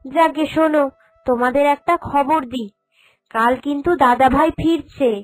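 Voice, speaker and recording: A woman acts out a sensible young woman in a family cartoon. She speaks in a high voice with an earnest, reassuring manner. Quiet music sits underneath. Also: steady tone, moderate pace, lightly acted, rough recording. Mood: reassuring, explaining. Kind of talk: Bengali cartoon drama dubbing.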